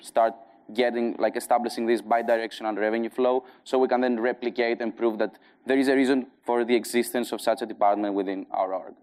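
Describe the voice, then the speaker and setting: A man's voice: medium pitch, darkish tone, clear, resonant tone. A man from Greece with a strong Greek accent giving a conference talk in a conversational way full of fillers, tired from jet lag. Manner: conversational, filler-heavy presenting. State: jet-lagged. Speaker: conference speaker.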